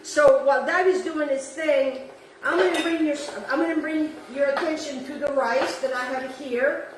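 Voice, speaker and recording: A chatty woman from Italy, Italian her first language, talks a home cook through a recipe and repeats her tips. Her voice sits high. It is picked up from a little distance in an echoey kitchen with faint room noise. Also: normal speed. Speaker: home cooking host. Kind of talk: casual cooking demonstration.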